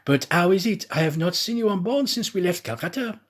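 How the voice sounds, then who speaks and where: Man playing an astonished, high-swooping, chatty manservant. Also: expressive, fairly quick. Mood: astonished, puzzled. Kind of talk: acted audiobook dialogue.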